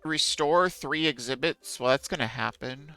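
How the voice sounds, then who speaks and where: A man's voice: mid-range voice, moderate pace, bright, forceful tone, dry recording, faint music behind. A man streaming a game, chatting casually with viewers in a musing, self-deprecating way.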